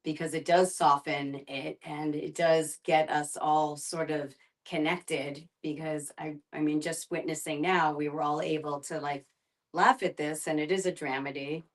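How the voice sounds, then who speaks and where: A woman facilitating a group discussion, speaking conversationally and reflectively, often pausing to find her words. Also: low voice, fairly even intonation. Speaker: guest facilitator, end-of-life doula and filmmaker.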